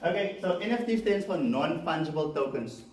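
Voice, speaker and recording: A man's voice: a little high, expressive, moderate pace, a little mellow. A man with a South African accent, Afrikaans his first language, giving a live seminar to a room, chatty with many fillers and questions to the audience. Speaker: presenter. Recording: echoey room, mic far off, faint background noise.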